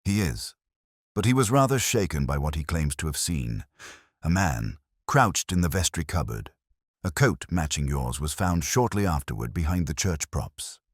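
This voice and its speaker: Man reading as male police inspector, polite, incisive, formal, low-pitched, lively intonation, detective audio story. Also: moderate pace, dry recording, studio quality, heavily acted. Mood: courteous probing.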